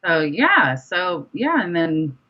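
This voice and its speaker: Casting: young female interview guest, very low register, candid and emphatic, casual delivery, muffled lo-fi recording. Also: sing-song, takes it slowly. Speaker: guest, independent music artist. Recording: dull, lo-fi sound.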